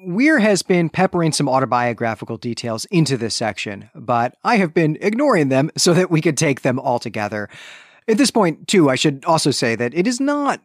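A man's voice: fairly high pitch, big pitch swings, normal speed, dry recording. Man explaining a book, dry close sound. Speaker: podcast co-host. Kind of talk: book recap discussion, explanatory.

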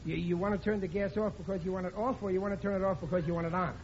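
An actor playing a bumbling, wheedling middle-aged working-class husband in a radio comedy, stammering and blustering. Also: male voice, high voice, fairly even intonation, racing, close to an everyday voice. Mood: grumbling. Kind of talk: old-time radio situation comedy acting. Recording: dull, noisy vintage radio recording.

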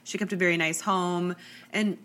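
Young female podcast host, stuffy and chatty, lowish bright voice. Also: steady tone, moderate pace. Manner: chatty, lots of likes and ums. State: congested with a cold. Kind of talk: true crime podcast storytelling.

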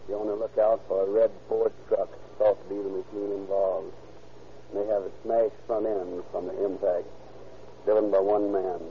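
An actor playing a police radio dispatcher with a clipped, flat delivery, in 1940s radio acting. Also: male voice, on the low side, moderate pace, lightly acted. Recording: phone-like police radio with hiss.

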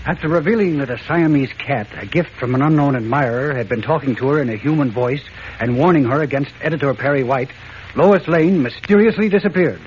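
A man's voice: mid-range voice, brisk. A man with an American accent giving dramatic scripted narration in the style of a 1940s radio serial. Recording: muffled, noisy old radio recording.

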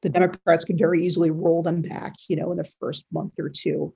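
Male news commentator, fairly high voice with fry, even intonation, halting conversational analysis. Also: muffled recording. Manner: hesitant, halting, repeats words mid-sentence. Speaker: news editor and guest commentator.

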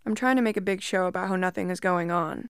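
A woman reads a first-person creepy story at a calm, measured pace, her voice smooth and resonant. She sits close to the mic in a dry room with no echo around her. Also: lowish voice. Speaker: story narrator.

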